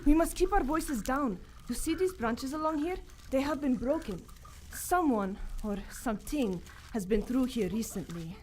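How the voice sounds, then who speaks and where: A woman's voice: high voice, lively intonation, normal speed, darkish tone. A woman playing a brave, wary young Indian adventuress in an old-time adventure radio play, speaking formally and dramatically. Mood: wary, intent. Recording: live stage recording, some background noise.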